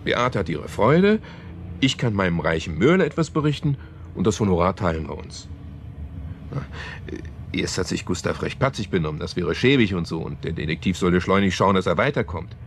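A man telling a story in a radio play, with a fairly low voice. He is earnest and patient, hedging and halting as he goes, in a natural conversational style. There is some noise on the recording. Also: sing-song. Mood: absorbed storytelling. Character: man haltingly retelling a novel to a sceptical woman.

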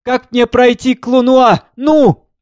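A man voicing a crazed, frightened young woman in a stage drama, loud and insistent. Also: high-pitched, normal speed, no room sound, pitched above the performer's own voice, natural voice.

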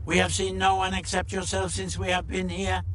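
A male reader acts a stern alien officer in an audio story, raised somewhat above his usual pitch. The figure is officious and suspicious, giving measured orders and questions. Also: completely flat delivery, fairly bright tone, slight background noise.

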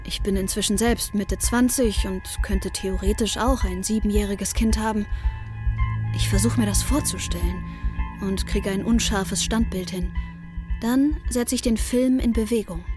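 A woman's voice: mid-range voice, fairly even intonation, unhurried, a little mellow, soft background music, close to an everyday voice. An actress playing a restless, introspective woman in her twenties, narrating a radio drama as an intimate inner monologue. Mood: reflective, wry. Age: 20s.

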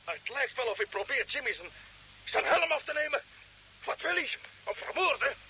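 An actor playing an urgent, concerned space expedition commander in a vintage radio drama, with exclamatory delivery. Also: male voice, extremely high pitch, big pitch swings, normal speed, bright, forceful tone, full character voice, poor audio. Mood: startled, urgent. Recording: hissy old radio recording, background noise.

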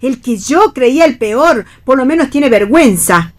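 A high-voiced Argentine mother in a radio play. She is scandalized and easily flustered, and she speaks in indignant, reproachful questions and exclamations. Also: lively intonation, moderate pace.

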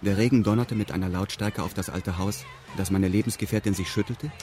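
A man's voice: lowish voice, steady tone, fairly quick, darkish tone, natural voice. A man narrating a radio drama in the first person, in a formal, detached and meticulous manner. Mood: detached, exact. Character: detached man recounting events with pedantic exactness.

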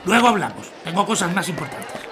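A man's voice: high voice, sing-song, fairly quick, crowd noise. A haughty businessman husband, acted by a man in a radio drama. He sounds scornful and condescending, with heavy ambient noise all around his voice. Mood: dismissive. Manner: condescending, dismissive. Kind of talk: audio drama acting.

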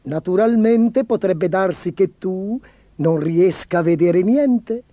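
Man playing a high-pitched, petulant, vain emperor. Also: slow pace, dry recording, highs cut off. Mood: scheming. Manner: petulant, commanding, theatrical. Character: vain, imperious, spoiled emperor obsessed with clothes. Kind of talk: storybook character voice.

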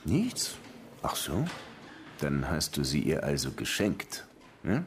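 An actor playing a brooding, world-weary police lieutenant in a radio crime drama, laconic and probing with a melancholy streak. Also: male voice, a bass voice, wildly swooping pitch, slow pace, slight background noise, full character voice. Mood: probing, skeptical. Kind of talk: naturalistic radio play acting.

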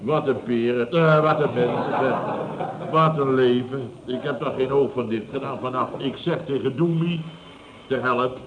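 An actor playing a pompous, self-satisfied middle-aged boss who exclaims and stammers when flustered, in old radio comedy style. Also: male voice, medium pitch, a little slow, lightly acted. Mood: indignant, mocking. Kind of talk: 1970s Dutch radio comedy acting. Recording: dull, noisy vintage radio recording.

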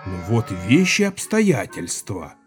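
An actor playing a gruff, gray-haired village Cossack who spins folk tales in a rambling, colloquial, self-satisfied way in an audio drama. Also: male voice, medium pitch, wildly swooping pitch, faint music behind. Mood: suspenseful, relishing. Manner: rambling, unhurried, colloquial, savoring the tale.

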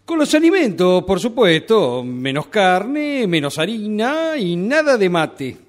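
An actor with an Argentine accent playing a blunt, matter-of-fact doctor in a radio drama. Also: male voice, high-pitched, big pitch swings, slow, full character voice.

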